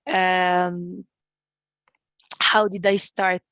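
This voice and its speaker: A woman's voice: lowish voice, flat delivery, very slow, a bit bright, no room sound. Woman, 20s, Palestinian Arabic accent, earnest storytelling, stumbles and repeats words, dead dry sound. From East Jerusalem. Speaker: guest, community manager at a peace group. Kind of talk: personal storytelling in a panel talk.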